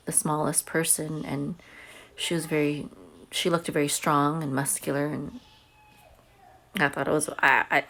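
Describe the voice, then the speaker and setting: A woman's voice: sits low, vocal fry, a bit bright. A homeschooling mother telling a personal story to camera in a chatty, earnest way. She says she has a big voice.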